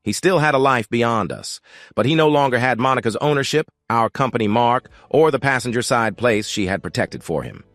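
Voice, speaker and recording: Sober man with lively intonation. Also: medium pitch, fairly quick, no room sound. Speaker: narrator. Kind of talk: scripted first-person narration.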